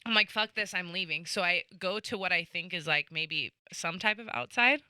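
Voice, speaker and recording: A young woman on a podcast telling a rambling party story in a lively, casual way, with lots of "like" and swearing. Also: lowish voice, normal speed, smooth, dry recording. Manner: animated, swearing, heavy use of "like". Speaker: podcast guest.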